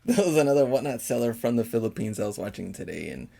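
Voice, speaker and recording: A man with a gravelly, creaky edge to his voice and a lively, swinging pitch. He co-hosts a casual podcast chat, telling stories with quoted bits and laughing often. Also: brisk. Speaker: podcast co-host.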